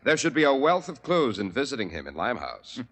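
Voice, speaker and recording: A man's voice: medium pitch, very animated, brisk, fairly bright tone. Persuasive old-time radio announcer man. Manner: smooth, persuasive salesman delivery. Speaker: sponsor announcer. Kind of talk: 1940s radio ad read.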